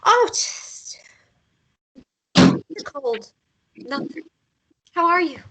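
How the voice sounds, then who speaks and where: A woman's voice: very high-pitched, very animated, drawn-out words, a bit bright, slight background noise, exaggerated voice, low-quality recording. A woman acting a hesitant, emotionally fragile woman in love in a drama script read. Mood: upset, overwhelmed.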